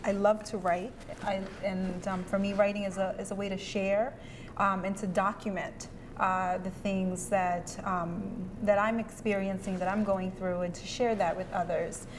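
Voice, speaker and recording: A woman's voice: medium pitch, flat delivery, unhurried, slight background noise. A woman from Haiti, a poet and educator, answering interview questions earnestly with hesitant fillers.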